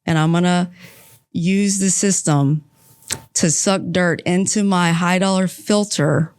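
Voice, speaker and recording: A woman's voice: on the low side, fairly even intonation, a little mellow, clean tone, dry recording, clean studio sound. A woman from Texas with a Texan accent, a guest on a podcast interview who chats and banters playfully about home life and her work. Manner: chatty, teasing, quick to banter. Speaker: guest, aggregate plant leader.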